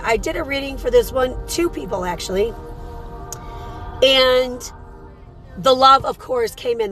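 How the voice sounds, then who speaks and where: A woman with a hoarse voice hosting a casual live morning chat. She reads cards for people, talks chattily and calls her viewers sweetheart. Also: fairly high pitch. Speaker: host and card reader.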